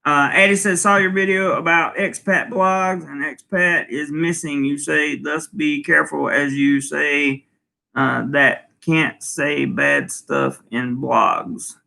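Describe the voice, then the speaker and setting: A man's voice: fairly high pitch, fairly even intonation, takes it slowly, vocal fry, bright, forceful tone. A man hosting a casual livestream, reading viewer comments aloud and answering them with lots of ums and restarts. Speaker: channel host. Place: home in Thailand.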